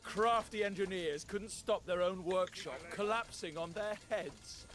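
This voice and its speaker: A man's voice: sits high, measured pace. A man playing a bold, proud Spartan general in a game, giving rousing, commanding speeches and orders.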